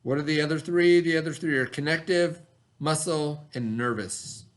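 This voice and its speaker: Male teacher, slightly high-pitched, instructional read-aloud for young pupils, with explanatory asides. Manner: instructional, pausing to pose and answer questions. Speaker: teacher reading a lesson aloud. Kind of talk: read-aloud science lesson for children with asides and questions.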